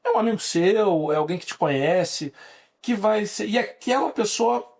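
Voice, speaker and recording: A man's voice: high voice, lively intonation, moderate pace, darkish tone. A Brazilian man in his forties, a lawyer guest on a podcast, speaking with a Brazilian Portuguese accent and explaining at length with frequent restarts. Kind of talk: podcast interview.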